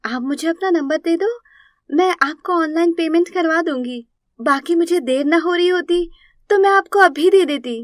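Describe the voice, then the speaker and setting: A woman voicing a sweet, polite, earnest young woman in an animated moral story. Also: extremely high pitch, moderate pace, dry recording, clean studio sound, voice pushed up. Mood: grateful. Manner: polite, pleading, heartfelt.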